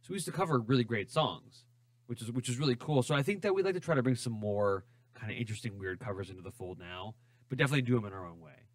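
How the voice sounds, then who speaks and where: A man's voice: mid-range voice, no echo. A man who plays in a band, a guest on a radio show, talking loosely with lots of fillers. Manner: quick, relaxed, filler-heavy talk. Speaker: musician guest. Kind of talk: casual radio interview. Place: radio studio.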